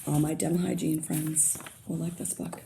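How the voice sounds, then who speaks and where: A woman teacher reads a picture book aloud to young students. She stops often to comment and to ask the children questions. Her voice sits low and mellow, with a gravelly fry, and a faint hum of room noise stays under it. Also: flat delivery, normal speed.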